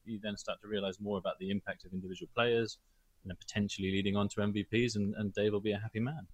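A man's voice: on the low side, fairly even intonation, fast, a little mellow, breathy edge, no room sound, muffled recording. A man from the volleyball federation side explaining things in a matter-of-fact way on a podcast video call. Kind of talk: casual chat.

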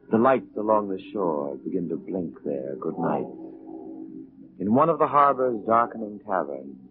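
A man acting as the solemn, theatrical host of a 1940s radio mystery show, narrating with grandiose drama. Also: low-pitched. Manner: slow, dramatic, grandiose delivery. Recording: dull 1940s radio recording with music.

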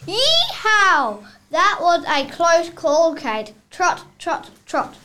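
A high, bright young cowboy acted by a child, eager but easily fed up. The lines come slowly, read as a play, over faint noise. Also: huge pitch swings, exaggerated voice. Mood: playful.

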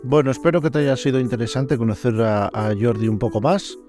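A man's voice: mid-range voice, fairly quick, over music. A man with a northern Spanish accent hosting a podcast in casual, colloquial, slangy interview chat. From northern Spain. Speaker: podcast host.

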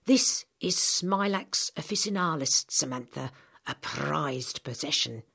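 A woman reader plays an old storybook apothecary, a gruff and knowing man. The speech is measured and a little lecturing, low and husky. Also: expressive, bright, forceful tone, exaggerated voice. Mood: knowing, proud.

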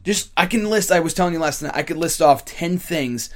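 Hoarse young man hosting a podcast. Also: fairly high pitch, brisk. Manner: excitable, rambling. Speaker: podcast host. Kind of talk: casual wrestling podcast chat.

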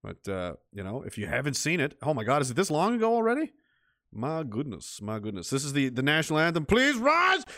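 A man's voice: on the high side, wildly swooping pitch, brisk, bright, forceful tone, dry recording, clean studio sound. Rambling, profane streamer man. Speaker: livestream host. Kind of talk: casual rambling commentary.

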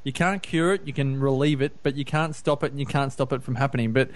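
A man's voice: mid-range voice, quick pace. A man hosting a podcast, asking long, rambling questions and teasing his guest. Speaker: podcast host. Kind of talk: casual interview chat.

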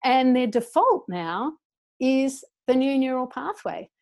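A woman's voice: high voice, animated, slow pace, a little mellow. A woman educator explaining her ideas as an interview guest in an earnest, passionate way.

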